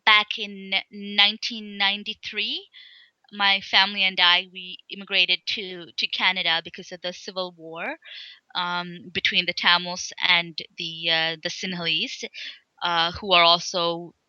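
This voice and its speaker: A young woman from Sri Lanka, an interview guest speaking in a halting way with many fillers and restarts. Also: fairly low pitch, little pitch movement, sharp tone, clean tone, dry recording, dull audio. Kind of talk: casual interview talk.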